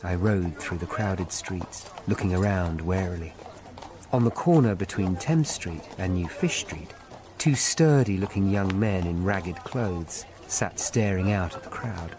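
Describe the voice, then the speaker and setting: Deep-voiced sombre Tudor narrator. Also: male voice. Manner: measured, literary. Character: sombre, watchful Tudor investigator narrating his memories. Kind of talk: scripted first-person drama narration. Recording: clear background noise and ambience.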